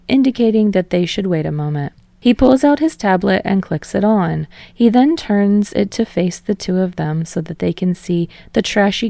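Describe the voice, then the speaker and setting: A woman's voice: medium pitch, creaky, mellow, dry recording. A woman reading a fiction story aloud as narrator, in a scripted narration style. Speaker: audiobook narrator.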